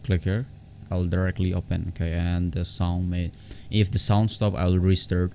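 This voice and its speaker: A man with a very deep voice and a lot of fry walks viewers through software step by step. His delivery is informal and halting, often doubling back on words. A faint layer of noise sits behind the close desktop-mic recording. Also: level tone. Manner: halting, repeats words and phrases. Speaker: tech tutorial vlogger. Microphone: separate desktop condenser microphone. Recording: low background noise on home recording.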